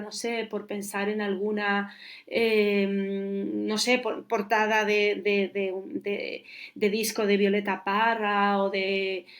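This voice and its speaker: A Chilean curator in her forties, talking in a reflective interview and thinking aloud with many restarts. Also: mid-range voice. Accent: Chilean Spanish. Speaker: curator and guest.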